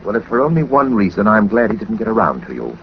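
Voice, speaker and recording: An actor playing a suave, confident gentleman detective in a 1940s radio drama, speaking with dry, formal wit. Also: on the low side, very animated, fast talker. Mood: dry triumph. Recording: muffled, noisy 1940s radio recording.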